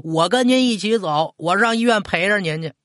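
A man's voice: high-pitched, a little fast, fairly bright tone, no echo, clean studio sound, voice pushed up. A man voicing a naive, stubborn eight-year-old grandson who pleads and whines in a told story. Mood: stubborn pleading.

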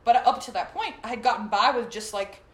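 A young woman with a low, slightly raspy voice, talking loosely as a guest on a podcast. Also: a little fast. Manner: chatty, loose, lots of fillers. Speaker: guest singer and artist. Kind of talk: casual podcast chat. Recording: roomy echo.

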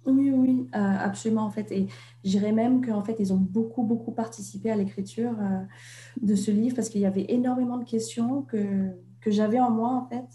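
A young woman from Vietnam, an author and interview guest, talking in a reflective way and often pausing on fillers and restarts. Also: a little high, steady tone, dark tone, echoey room, a little hiss or hum. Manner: hesitant, many fillers and restarts.

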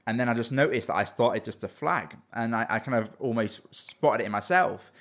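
A man's voice: medium pitch, fairly quick, fairly bright tone. A young man in his twenties, a business owner talking earnestly in a casual interview, often restarting and repeating words.

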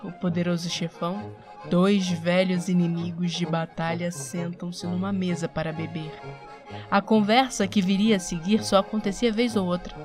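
A woman narrates an audio drama from a script. Her voice is on the low side. Music plays clearly behind her words.

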